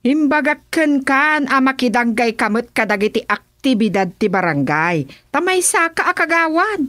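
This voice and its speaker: A woman's voice: sits high, very animated, moderate pace, fairly bright tone, no echo, studio quality. A woman with an Ilocano accent playing a nagging, scolding wife in a radio drama.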